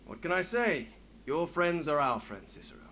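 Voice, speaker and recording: An actor playing a genial, open-minded old friend in a video game, wry and conversational with ornate wording. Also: male voice, fairly high pitch, sing-song, moderate pace, gravelly, sharp tone, slight background noise, heavily acted.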